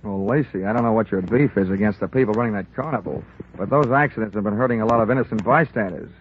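An American man playing a wry, hard-boiled insurance investigator in a 1950s radio drama, with a clipped, deadpan, wisecracking delivery. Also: lowish voice, fast talker, narrow, tinny audio, noisy background, close to an everyday voice. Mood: cool, probing. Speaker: lead actor and narrator. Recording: band-limited vintage radio recording, music behind.

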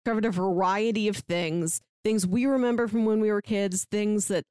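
Casting: female podcast host, chatty and informal, mid-pitched voice, dry recording. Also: steady tone, moderate pace, darkish tone, clear, resonant tone, no room sound. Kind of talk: casual podcast chat.

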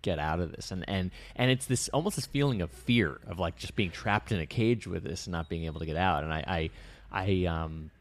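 A man's voice: sits low, a little fast. A man co-hosting a casual talk show, a public speaker who reflects aloud and often restarts his sentences while thinking. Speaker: podcast co-host and public speaker. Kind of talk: casual conversational chat.

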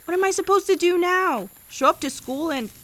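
A woman's voice: extremely high pitch, a little fast, fairly bright tone. A young woman playing an anxious, awkward seventeen-year-old girl in an audio drama, speaking in a hesitant, flustered way. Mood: embarrassed, frustrated.

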